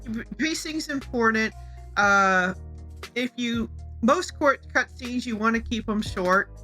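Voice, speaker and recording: Bright-voiced woman chatting over music. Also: a little high, slow pace. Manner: conversational, informal. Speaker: co-host of a game-making show. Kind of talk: casual advice talk. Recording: music behind the voice. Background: background music.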